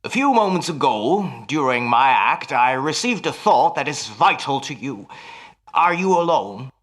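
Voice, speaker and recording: A man playing a grave, earnest stage telepathist in a radio play, with a deliberate, courteous and dramatic manner. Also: mid-range voice, big pitch swings, a bit bright. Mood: urgent, grave.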